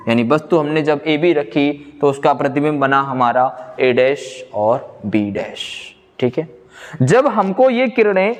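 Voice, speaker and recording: Male coaching-class teacher, slightly high voice, explains step by step with question-and-answer phrasing, recorded in a reverberant room. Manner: asks questions and answers them himself, repeats key phrases for note-taking. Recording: echoey hall-like room reverb.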